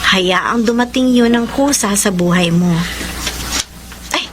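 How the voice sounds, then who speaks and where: A Filipino actress playing an emotional, forgiving young older sister in a radio drama, mixing in some English. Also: female voice, mid-range voice, slow, noisy background, close to an everyday voice. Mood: content, affectionate. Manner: emotional, expressive delivery with some English mixed in. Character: heartbroken but forgiving older sister, young, warm and playful. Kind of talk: Tagalog radio drama acting and narration. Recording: loud music bed under the voice.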